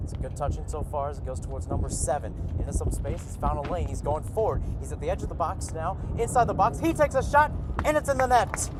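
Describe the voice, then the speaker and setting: A man's voice: fairly high pitch, fast. Male soccer radio commentator, outdoor noise behind. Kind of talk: live radio sports commentary. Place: outdoors at youth soccer fields.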